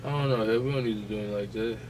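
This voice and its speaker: A man's voice: fairly low pitch, rapid-fire, a crowd in the background, rough recording. An American man co-hosting a casual podcast, talking in a slangy, profane and argumentative manner. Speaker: podcast co-host. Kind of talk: casual chat and debate.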